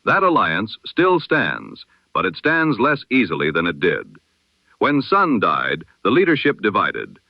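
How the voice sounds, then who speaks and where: A man reading a scripted news bulletin as a 1920s radio announcer, in a solemn, formal, measured oratorical manner. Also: on the low side, dry recording, muffled recording.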